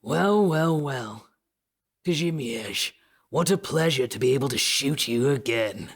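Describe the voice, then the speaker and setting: A man's voice: medium pitch, animated, a little slow, husky. An actor playing a smug, taunting male traitor who savours his words theatrically in a sci-fi audio drama. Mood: mock delight.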